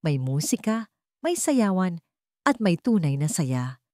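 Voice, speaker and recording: A woman's voice: on the low side, sing-song, slow, mellow, no room sound, studio quality. A woman narrating a dramatic romance story in a sentimental, emphatic storytelling manner.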